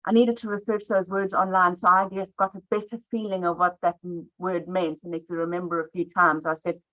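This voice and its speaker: A woman artist speaking at a book launch, earnest and warm, often restarting her sentences. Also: fairly low pitch, level tone, a little fast, rough recording. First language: not Afrikaans. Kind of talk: speaking at a live event.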